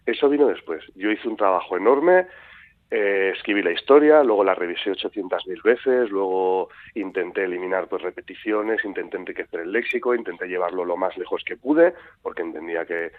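Animated male storyteller, low voice, dry close sound. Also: a little fast, dry recording. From Madrid. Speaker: guest author. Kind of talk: radio interview. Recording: tinny phone-like radio sound.

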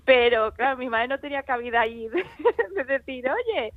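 A young woman from Spain, an author and illustrator, talking in a lively way and laughing often as a radio guest on the phone. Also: high voice, normal speed, no room sound. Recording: tinny phone line.

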